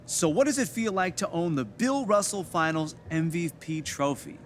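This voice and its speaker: An actor playing a polite sports reporter asking press conference questions in a video game cutscene. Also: male voice, fairly high pitch, sing-song, normal speed, slight background noise. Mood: inquisitive.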